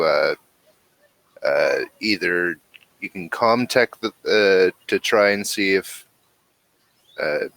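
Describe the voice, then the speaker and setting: A man narrating a tabletop role-playing game as game master, halting as he searches for words. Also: sits low, sharp tone, no echo.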